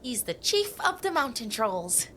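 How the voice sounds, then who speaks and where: A woman's voice: fairly high pitch, big pitch swings, unhurried, sharp tone, slight background noise, exaggerated voice. A woman playing a timid, bookish young male mountain troll, hesitant and earnest, in a family audio drama. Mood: earnest, wistful.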